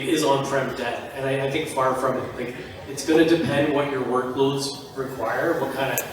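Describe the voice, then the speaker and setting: A man on a conference panel, explaining in a casual, joking manner. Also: medium pitch. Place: large conference hall. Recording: echoey hall, mic far off.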